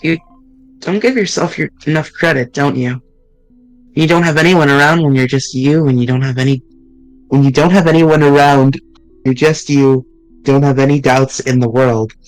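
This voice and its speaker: A man streaming, reading visual novel dialogue aloud in an earnest, halting way with small restarts. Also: medium pitch, steady tone, dry recording, faint music behind, rough recording.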